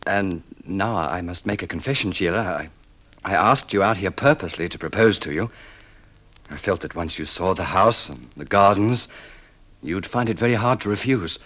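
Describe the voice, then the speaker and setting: An actor playing an earnest, courteous, persuasive suitor with gentlemanly polish in an old-time radio drama. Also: male voice, low-pitched, normal speed. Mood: hopeful, persuasive. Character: earnest, smooth-talking suitor, self-made man with gentlemanly polish. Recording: vintage radio drama recording.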